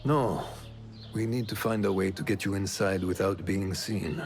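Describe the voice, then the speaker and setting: A man playing a stoic, reflective mentor in a video game, speaking in measured, formal phrases like a storyteller. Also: sits low, fairly even intonation. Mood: practical. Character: solemn male mentor, reflective and stoic about old pain. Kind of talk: video game cutscene dialogue.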